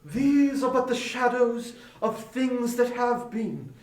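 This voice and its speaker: A man as a slow, high-voiced ghostly spirit guide. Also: lively intonation, darkish tone, exaggerated voice. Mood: solemn. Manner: slow, measured, solemn. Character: gentle, solemn Ghost of Christmas Past, unearthly spirit guide. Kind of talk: dramatic audiobook reading. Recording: roomy echo, distant from microphone.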